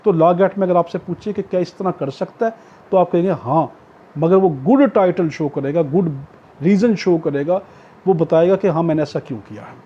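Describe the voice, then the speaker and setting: High-pitched, husky Pakistani man lecturing. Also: moderate pace. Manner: explanatory, repetitive, often checking with "okay". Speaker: law lecturer. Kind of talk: exam-prep law lecture with worked examples. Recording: dull lo-fi audio.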